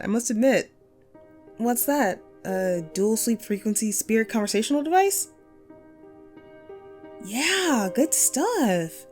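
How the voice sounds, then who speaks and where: A woman performs an upbeat female ghost, once a radio host and ghost hunter, in an intimate one-sided audio roleplay. Her medium-pitched voice moves around in a very animated way, playful and talkative with musing pauses. Soft music sits behind her. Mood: playful, teasing.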